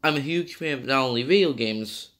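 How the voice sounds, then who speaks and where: Young male game reviewer, mid-pitched, a little nasal from a cold, chatty and full of restarts. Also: brisk, fairly bright tone. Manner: rambling, self-correcting, many fillers. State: partly sick, slightly nasal. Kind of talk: casual video game review.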